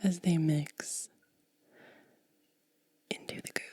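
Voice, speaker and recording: A woman speaking in a soft, breathy whisper as an ASMR host, gentle and unhurried, close to the microphone. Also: deep voice, steady tone, no room sound. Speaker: ASMR video creator and host.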